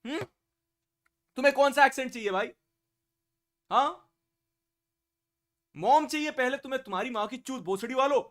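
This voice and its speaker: A young man streaming live, chatting loudly and swearing, joking with his viewers. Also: very high-pitched. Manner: loud, profane, joking, mixes Hindi and English, says bro a lot. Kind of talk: casual live-stream chat.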